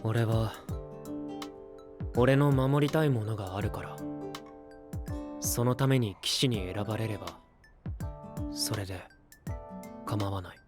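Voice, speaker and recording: An actor playing an aloof, quietly earnest young would-be knight, terse and reserved, in an anime voice drama. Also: male voice, fairly low pitch, a little slow, long pauses, over music, lightly acted. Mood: quiet, resolute. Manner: terse, soft-spoken, reserved.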